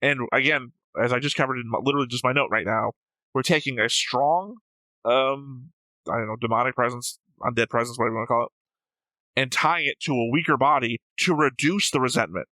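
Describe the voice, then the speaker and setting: Bright-voiced man reasoning through theories. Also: mid-range voice, brisk, no echo, low-quality recording. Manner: methodical, argumentative explaining. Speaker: reaction show co-host. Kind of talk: casual analytical discussion.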